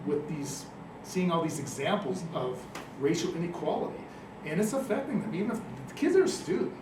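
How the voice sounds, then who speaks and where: Earnest man telling a heartfelt story. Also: a little high. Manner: earnest, emotional storytelling with repetitions. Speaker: school district armed officer. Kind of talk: personal sharing in a listening circle. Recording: echoey room, distant mic, clear noise.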